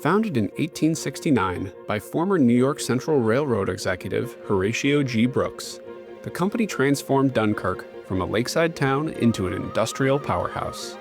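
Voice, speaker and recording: A man reading scripted documentary narration in a measured, formal way. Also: mid-range voice, big pitch swings. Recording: music bed under voice.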